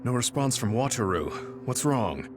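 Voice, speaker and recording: A man's voice: medium pitch, big pitch swings, unhurried. An actor playing a composed, stoic male soldier in a video game cutscene. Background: loud music.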